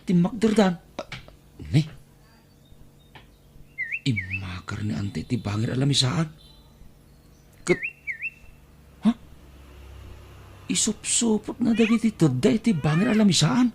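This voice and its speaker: A man acts out a put-upon Ilocano poor relation in an audio drama. He grumbles and exclaims indignantly, with very lively swings of pitch at an unhurried pace. Faint noise sits behind the voice. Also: full character voice. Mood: puzzled grumbling.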